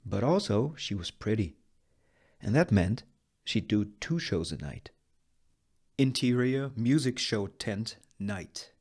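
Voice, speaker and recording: Slow-paced man narrating a screenplay. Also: on the low side, lively intonation, darkish tone. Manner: deliberate, clipped phrases with dramatic pauses. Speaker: narrator reading screenplay stage directions. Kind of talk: dramatic scripted narration at a table read.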